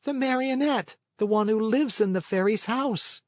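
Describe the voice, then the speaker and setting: A man voicing an impulsive wooden puppet boy in a children's storybook, earnest and excitable. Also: sits high, moderate pace, dry recording, clean studio sound, pitched above the performer's own voice, natural voice. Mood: pleading.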